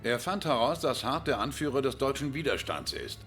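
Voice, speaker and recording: A stern British general briefing his soldier in a game cutscene. He is commanding and grave, with a mid pitch that moves widely. A clear musical score runs underneath. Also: big pitch swings, a little fast, fairly bright tone, soft background music. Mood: insistent. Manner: authoritative, grave. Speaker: video game character in cutscenes.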